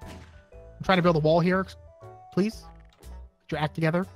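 A man's voice: high voice, fairly even intonation, normal speed. A man bantering over gameplay in a joking, theatrical way with friends. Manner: goofy, theatrical. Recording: game music behind the voice.

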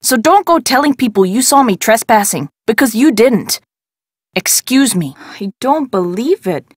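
A woman's voice: on the high side, dry recording, clean studio sound. Candid, folksy shopkeeper woman. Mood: defensive. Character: friendly, candid woman running a desert craft shop. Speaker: shop owner character in an adventure game. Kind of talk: scripted video game dialogue.

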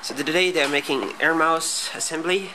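A man's voice: fairly high pitch, normal speed. A man interviewing casually on a walk-around tour, inquisitive, with short, repeated questions. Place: busy small factory and office. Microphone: handheld camera, speaker behind it. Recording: faint workplace noise.

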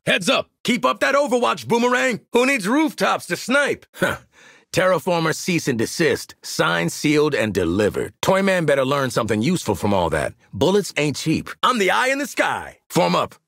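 An actor playing a cocky, wisecracking American sniper mercenary in a video game, streetwise and sardonic, with punchy delivery. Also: male voice, on the high side, big pitch swings, normal speed, gravelly, a bit bright, no room sound, clean studio sound, heavily acted. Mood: brash, urgent. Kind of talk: video game combat voice lines.

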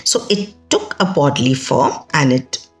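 A middle-aged woman with an Indian accent telling a folktale in an expressive, emphatic way, with conversational asides. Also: sits low, moderate pace. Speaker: storyteller. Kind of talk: dramatic oral folktale storytelling. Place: indoors, small plain room. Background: music.

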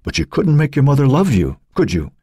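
A man reading the part of a calm male psychiatrist who prods with measured questions, husky voiced. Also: fairly high pitch, dry recording, studio quality, voice pushed up, full character voice. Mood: probing. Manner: measured, prodding, faintly mocking.

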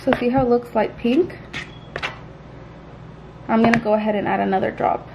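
A woman's voice: on the high side, normal speed. A young woman chatting informally to viewers as she gives home baking instructions in a casual, unpolished way. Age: young adult. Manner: informal, chatty, occasionally crude. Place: small home kitchen, indoors. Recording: clearly noisy home kitchen audio with clicks.

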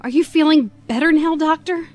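A woman's voice: high voice, moderate pace, a little mellow, no room sound, clean studio sound, natural voice. A woman playing a time traveller's outspoken, concerned companion in a sci-fi audio drama. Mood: skeptical, concerned.

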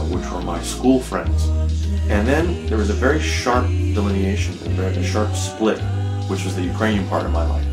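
Deep-voiced man in a roomy studio. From United States. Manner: reflective, gestures while talking. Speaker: interviewee. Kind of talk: documentary interview. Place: dim studio with acoustic panels. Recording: roomy interview with music behind.

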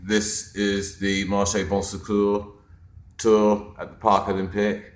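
A man's voice: lowish voice, measured pace, roomy sound. A man casually narrating a walkthrough of a mural he painted, in an office lunchroom, speaking offhand into a handheld camera. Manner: relaxed, offhand, pointing things out. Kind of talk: casual narrated video tour.

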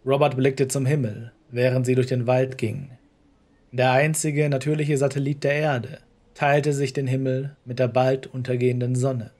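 A man's voice: mid-range voice, flat delivery, normal speed, a little mellow. A man narrating a scripted horror story in the role of storyteller. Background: music.

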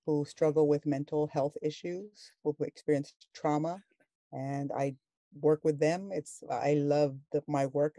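A sign language interpreter voicing a panelist's words on a video call, halting and full of fillers and restarts. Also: medium pitch, warm tone, no echo.

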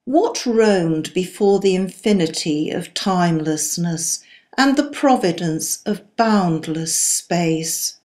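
A woman reciting poetry in a solemn, deliberate, dramatic and declamatory manner. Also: fairly low pitch, a little mellow. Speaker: poetry reader.

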